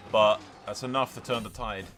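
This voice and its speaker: Sweary, bright-voiced man with a low pitch. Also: steady tone, moderate pace, faint music behind. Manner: sweary, blokey, addressing viewers as lads. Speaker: gaming video host. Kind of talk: casual game playthrough commentary.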